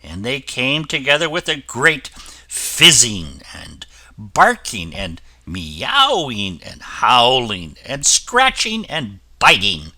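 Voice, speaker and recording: A man reading a children's fairy tale aloud as the storyteller, with an expressive delivery. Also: mid-range voice, dry recording.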